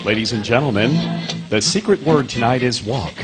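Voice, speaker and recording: An actor playing a polished, booming 1950s radio quiz show announcer. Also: male voice, animated, moderate pace, over music, close to an everyday voice. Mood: grand.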